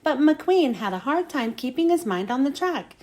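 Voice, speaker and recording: A woman reading a children's storybook aloud in an expressive way, with dramatic storytelling emphasis. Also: high-pitched, moderate pace. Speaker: children's book reader.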